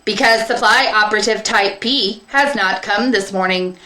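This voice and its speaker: A woman's voice: mid-range voice, normal speed, sharp tone, reverberant, voice pushed up, natural voice, low-quality recording. A woman voicing a literal-minded warehouse robot that recites in a stiff robotic manner. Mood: flat, literal. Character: seed distributor, literal-minded low-class warehouse robot.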